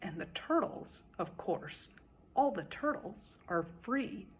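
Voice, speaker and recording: Slow-paced woman storyteller, slightly husky. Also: mid-range voice, sing-song. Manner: dramatic, deliberate phrasing. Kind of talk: expressive children's story recitation in verse.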